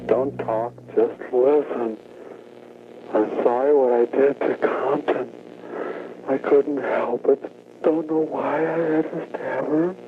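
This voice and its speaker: A man's voice: medium pitch, fairly even intonation, slow, background noise. A distraught, crying man with a weepy, whining voice making a confession on an emergency phone call. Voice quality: weepy, whiny. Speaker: anonymous caller confessing to police. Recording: tinny archival police phone recording, music behind.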